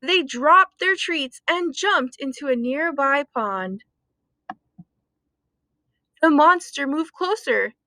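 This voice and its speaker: A woman reading a children's story aloud expressively, with deliberate dramatic emphasis. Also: high voice, expressive, pushed and bright, no room sound. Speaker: storytime reader.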